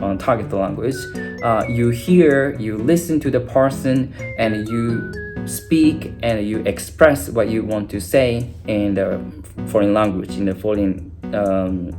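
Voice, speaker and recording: A young man whose first language is Japanese explains study ideas in a halting way, full of fillers and restarts. His voice sits at a middle pitch. Plucked guitar music plays clearly behind him. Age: young adult. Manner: hesitant, many fillers and restarts. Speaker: language teacher. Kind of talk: unscripted video lesson.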